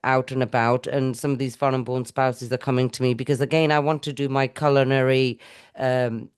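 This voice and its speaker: A middle-aged woman from India hosts a casual interview. Her voice is smooth and clear, and she gushes praise in long, rambling sentences full of restarts. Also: a bass voice, flat delivery, brisk, a little mellow. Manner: effusive, rambling, with self-corrections. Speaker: podcast host.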